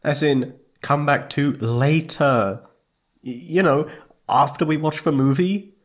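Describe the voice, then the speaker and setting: A man playing a needy, affectionate young boyfriend in an intimate ASMR roleplay, speaking in a soft, pleading, hesitant way. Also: fairly high pitch, slow. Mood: coaxing. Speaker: audio roleplay voice actor.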